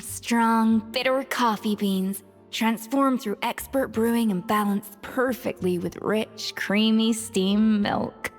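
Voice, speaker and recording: A woman acting the part of a proud, exacting young chef in a video game, earnest and candid, speaking in an articulate, emphatic way. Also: on the high side. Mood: savoring, relieved.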